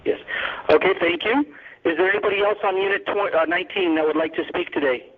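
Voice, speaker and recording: A man with a slightly high voice moderates a call. His delivery is orderly and businesslike, and the sound has the narrow, muffled band of a telephone. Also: fairly even intonation, fast talker.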